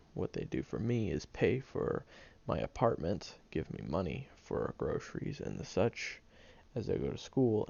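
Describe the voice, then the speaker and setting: A man's voice: sits low, vocal fry, mellow, breathy edge, heavily acted. A man voicing a prickly young male student who secretly cares, talking hesitantly with stammers and ums in an audio roleplay. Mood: bitter. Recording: dull lo-fi recording.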